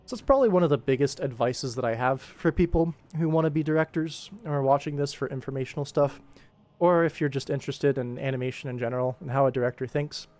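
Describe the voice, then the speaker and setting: A man's voice: mid-range voice, fast talker, darkish tone. A man hosting a casual work livestream as an animation director, explaining informally and thinking aloud. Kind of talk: casual livestream commentary while working.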